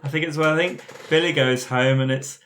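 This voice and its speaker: A man's voice: on the high side, steady tone, a little fast, background noise. A man improvising a story casually as a player in a tabletop game.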